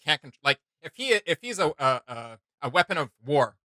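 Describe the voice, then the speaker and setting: Bright-voiced man rambling through a casual reaction. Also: fairly high pitch, expressive, normal speed, dry recording, clean studio sound. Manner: rambling, thinking aloud. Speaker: reaction video host.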